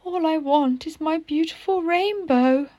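Lovesick tadpole boy, earnest and begging, voiced by a woman, higher pitch, dry close sound. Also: a little slow, warm tone, no room sound. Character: lovesick young male tadpole, apologetic.

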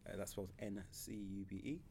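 A man's voice: deep voice, steady tone, brisk, creaky, warm tone, a little hiss or hum. A man in his thirties from Zimbabwe, a podcast guest who talks hesitantly with many fillers and restarts. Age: 30s. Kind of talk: casual interview.

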